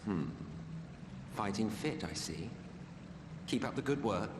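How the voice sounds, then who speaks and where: Bored smug game villain, languid n mocking, big echoey hall, noisy background. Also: male voice, on the low side, animated, takes it slowly. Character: bored, scheming, haughty male villain. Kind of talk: dramatic video game cutscene acting.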